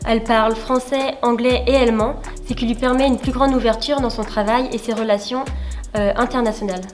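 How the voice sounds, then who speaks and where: A young woman from France presenting a student project, speaking in a measured, slightly hesitant way. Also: fairly high pitch, fairly even intonation. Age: young adult. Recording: faint music behind.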